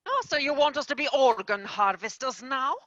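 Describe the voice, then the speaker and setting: A woman's voice: sits high, moderate pace, fairly bright tone, dry recording, lo-fi sound. A woman playing a stern female fairy company boss in a stage play, weary, exasperated and prone to sighing. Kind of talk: amateur stage-play acting.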